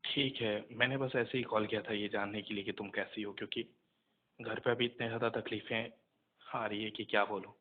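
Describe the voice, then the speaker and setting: A young man talking casually on a recorded phone call, subdued and earnest, with hesitant pauses. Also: on the low side, fairly even intonation.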